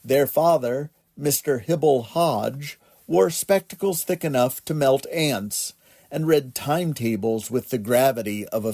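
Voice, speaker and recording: A man reads a whimsical children's bedtime story in a mellow, relaxed voice. His pace is slow and measured, and his pitch rises and falls expressively. The recording is dry and close. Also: no echo. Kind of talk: gentle bedtime story narration.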